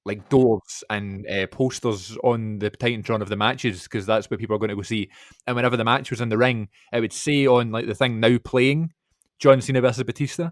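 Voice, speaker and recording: A man chats on a podcast in an enthusiastic, rambling way, full of ums and restarts. His pitch sits in a middling range. The recording is dry and close. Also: fairly even intonation, a little fast, no echo. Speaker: podcast co-host. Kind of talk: casual chat.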